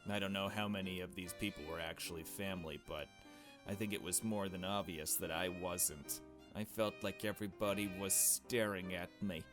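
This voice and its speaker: A man's voice: low-pitched, steady tone, moderate pace. A man reading a visual novel aloud as a let's play host, chummy and joking, with casual asides and swearing. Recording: music behind the voice. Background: game music.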